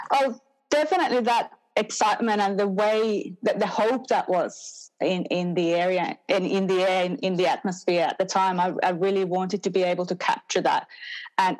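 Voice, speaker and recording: A middle-aged woman from Finland speaks English with a Finnish accent at a medium pitch. She answers as an academic guest, conversationally, piecing her thoughts together in halting phrases. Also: low-quality recording.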